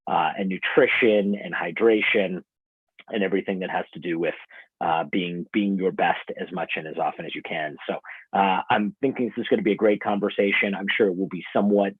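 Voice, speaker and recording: Deep-voiced man over a tinny line. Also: steady tone, fairly quick, breathy edge, no echo. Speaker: panel moderator. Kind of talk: moderating a panel. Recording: tinny, dry phone-like line.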